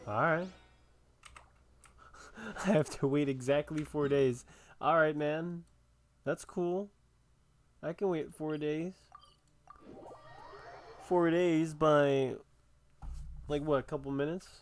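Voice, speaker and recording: Slow-talking, laid-back male gamer. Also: fairly high pitch, slight background noise. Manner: laid-back, joking, drawn-out words. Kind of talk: casual gameplay commentary.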